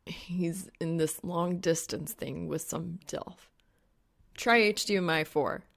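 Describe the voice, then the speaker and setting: Wry young male gamer, audiobook fiction, played by a woman, lowish fry-laden voice, slangy and deadpan. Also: slow. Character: young man gamer, wry, exasperated, awkward crush.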